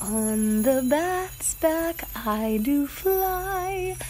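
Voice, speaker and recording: Very high, dainty airy spirit. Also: female voice, animated, drawn-out words, a little mellow, soft background music, heavily acted. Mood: merry, dreamy. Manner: playful, sing-song. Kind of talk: lilting verse in a Shakespearean radio play.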